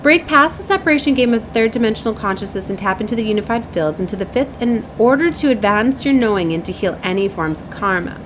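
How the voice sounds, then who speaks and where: A woman's voice: fairly high pitch, expressive, fast talker, hardly any pauses, noisy background. A woman hosting a spiritual card reading, reading oracle messages aloud in a mystical, declarative way. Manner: solemn, declarative, mystical phrasing. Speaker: spiritual card reader, host. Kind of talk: reading oracle cards aloud with casual asides.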